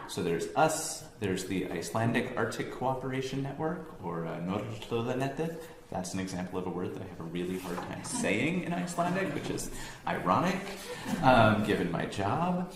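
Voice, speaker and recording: A young American man with a very low voice gives an informal talk in a room that rings with echo. He speaks in a loose, chatty way, full of ums and restarts. A faint hum sits under his voice. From United States, near Boston. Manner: relaxed, conversational, many ums and self-corrections. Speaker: science organization staff giving a talk. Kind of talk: informal presentation to an audience. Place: room with an audience.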